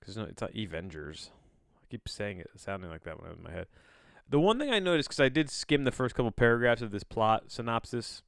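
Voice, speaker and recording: A man hosting a solo podcast, chatting in a rambling, halting way, often backtracking mid-sentence with a bemused, self-deprecating manner. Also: fairly low pitch, animated, creaky, a bit bright, no room sound, studio quality. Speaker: podcast host.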